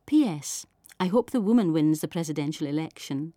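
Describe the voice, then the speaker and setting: A woman's voice: low voice, animated, brisk, warm tone, no room sound, clean studio sound. A woman with a Shetland Scottish accent reading her own literary writing aloud, as a poet and letter writer.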